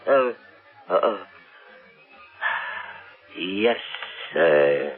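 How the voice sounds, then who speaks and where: Muffled old radio, polite nervous servant, halting and slow. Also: male voice, low voice, animated, heavily acted. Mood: uneasy, confused. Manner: halting, hesitant. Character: hesitant, deferential household servant, uneasy and polite. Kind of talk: radio play acting. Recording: muffled old radio drama, faint music.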